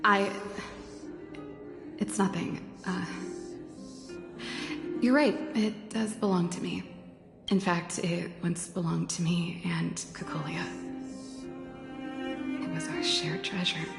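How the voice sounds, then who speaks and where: A woman's voice: lowish voice, fairly even intonation, slow pace, creaky, pauses often. A woman voicing a wistful, free-spirited musician in a video game, speaking with heartfelt hesitation. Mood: shaken, tender. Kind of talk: dramatic game dialogue. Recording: studio voice over guitar music.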